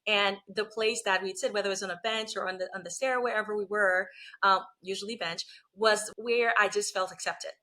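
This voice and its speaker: Interview guest: woman from Liberia, lawyer turned coach, bright tone, thoughtful and explanatory. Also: mid-range voice, steady tone, brisk. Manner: reflective, explanatory, frequent "you know" and "right?". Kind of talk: conversational interview.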